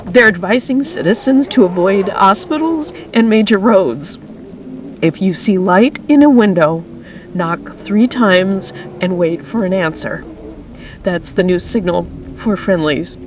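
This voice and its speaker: A woman playing a weary emergency radio announcer in an apocalypse radio drama, speaking in a grave, deliberate manner. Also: mid-range voice, expressive, a little hiss or hum, natural voice. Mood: grave, urgent. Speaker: radio news broadcaster. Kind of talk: scripted radio drama broadcast.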